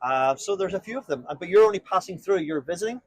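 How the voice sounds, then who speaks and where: High-voiced husky street evangelist man. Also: animated, fast, no echo. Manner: earnest, explanatory, addresses listener with questions. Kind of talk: informal street preaching and conversation. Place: outdoors on a town street.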